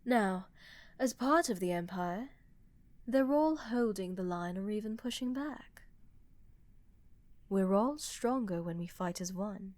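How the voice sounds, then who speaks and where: A woman's voice: mid-range voice, animated, takes it slowly, long pauses, a little mellow, no room sound. Sly, confident empress for fantasy audio drama, played by a woman; teasing, persuasive, takes her time, close dry sound. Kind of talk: fantasy audio roleplay acting.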